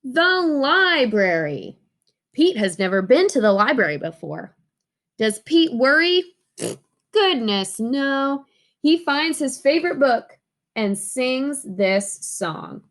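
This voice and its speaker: Slow, high-voiced woman reading to children. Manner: expressive, addressing young listeners with questions. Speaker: teacher reading children's storybook aloud. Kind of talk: children's storybook read-aloud with sing-along.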